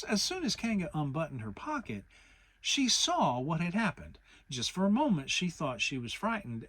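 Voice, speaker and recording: Slow, expressive, husky storybook narrator. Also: medium pitch. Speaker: audiobook narrator. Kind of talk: dramatic reading of a children's story.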